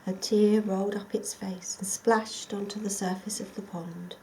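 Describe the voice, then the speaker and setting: A woman reading a children's story expressively and dramatically, often dropping to a hush. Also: mid-range voice, steady tone, normal speed, slight background noise, rough recording. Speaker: volunteer storyteller.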